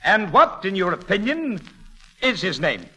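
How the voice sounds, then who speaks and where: A stern, officious courtroom questioner in a 1940s radio comedy. He declaims formally in a high voice, with music in the vintage broadcast recording. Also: big pitch swings, measured pace, pushed and bright, husky, heavily acted, low-quality recording. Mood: formal, probing.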